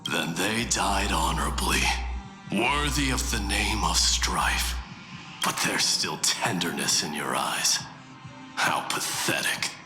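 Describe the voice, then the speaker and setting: A man's voice: deep voice, animated, slow, bright, forceful tone, full character voice. An actor playing a proud, scornful but honorable warrior king in a video game, speaking with imperious, taunting deliberation. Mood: scornful, cool. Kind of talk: dramatic video game voice acting. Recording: echoey room sound. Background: faint music.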